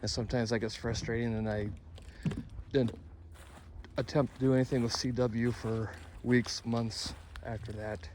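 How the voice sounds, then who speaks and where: Male vlogger, mid-pitched voice, candid, rambling, halting delivery, self-correcting, noticeable ambient noise. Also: level tone, darkish tone. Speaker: amateur radio hobby vlogger. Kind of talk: casual rambling vlog monologue.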